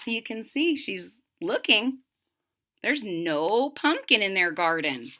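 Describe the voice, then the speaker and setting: A woman's voice: medium pitch, slight background noise. A woman reading a storybook aloud to young children, expressively, with direct asides to the listeners. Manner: deliberate, animated, addressing children directly. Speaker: storybook reader.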